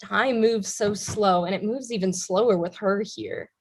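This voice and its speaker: Woman acting a husky, bitter, combative young woman. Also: medium pitch, measured pace. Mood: scornful, resentful. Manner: sarcastic, blunt, profane. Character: bitter, combative, sardonic young woman in eating disorder treatment. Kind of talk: staged play reading.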